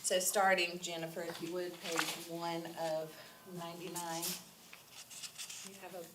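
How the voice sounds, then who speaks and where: Low-voiced woman with a head cold. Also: level tone. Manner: measured, formal, with frequent ums. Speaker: county administrator presenting budget. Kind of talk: budget presentation at public workshop. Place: council meeting room. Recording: noisy public meeting recording.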